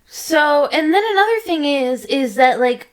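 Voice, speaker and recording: A woman's voice: high-pitched, lively intonation, measured pace. A woman co-hosting a podcast in casual chat, blunt and informal in the way she talks. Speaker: podcast co-host.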